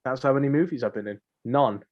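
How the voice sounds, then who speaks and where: Young male podcast co-host, average pitch. Slangy, repetitive, rambling and jokey, casual throughout. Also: quick pace, highs cut off. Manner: joking, rambling, slangy, repeats himself. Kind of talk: casual banter.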